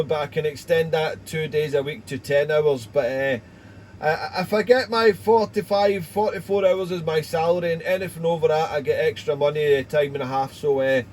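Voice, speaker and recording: A man's voice: a little high, a little mellow, slight background noise. A Welsh man in his forties chatting casually over ham radio, rambling and repeating words. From Wales. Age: 40s.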